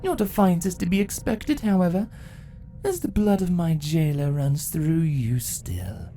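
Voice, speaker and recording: A woman voicing an ancient changeling empress from a fantasy story, sadistic and mocking, speaking slowly and deliberately. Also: fairly low pitch, very animated, dark tone, faint music behind. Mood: sadistic, gloating. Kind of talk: dramatic fan fiction voice acting.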